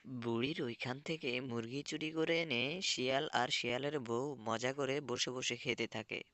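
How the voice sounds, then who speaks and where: A man's voice: medium pitch, unhurried, bright, forceful tone, no room sound. A man reading scripted folktale narration for a cartoon.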